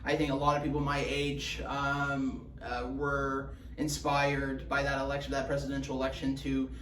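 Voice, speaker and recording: A man's voice: medium pitch, completely flat delivery, moderate pace, a bit bright, distant mic. A young man from Houston, a campaign manager, answering interview questions in an easygoing way with many fillers. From Houston, Texas. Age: young adult. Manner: easygoing, many fillers, chuckles when talking about his school. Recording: roomy sound, away from the mic, faint hum.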